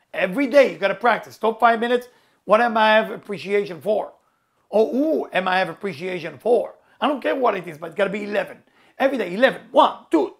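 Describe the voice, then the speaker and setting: A middle-aged man whose first language is Hebrew gives an earnest spiritual motivational talk, repeating key words emphatically in non-native phrasing. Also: high-pitched. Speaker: spiritual teacher.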